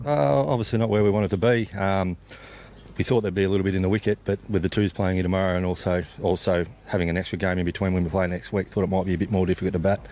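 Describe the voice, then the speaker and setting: Deep-voiced, fast-talking Australian sportsman. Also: fairly even intonation, a little mellow. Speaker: cricket club captain. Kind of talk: post-play sports interview. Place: outdoors at a cricket ground. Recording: clear background noise, outdoor ambience.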